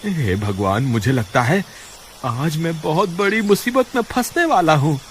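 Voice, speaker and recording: A man's voice: on the high side, animated, unhurried, heavy background noise. A man playing a jumpy, fretful village woodcutter in a horror cartoon, given to exclaiming. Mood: worried. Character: frightened village woodcutter, a husband, jumpy but stubborn. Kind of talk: Hindi horror cartoon dialogue. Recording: music behind the voice.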